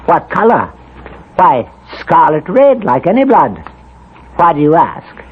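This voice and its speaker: A man playing a kindly, grave older gentleman in a 1970s radio drama, speaking in a measured, formal way. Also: on the high side, sing-song, breathy edge, heavily acted. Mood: grave, puzzled. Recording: tinny band-limited 1970s radio recording.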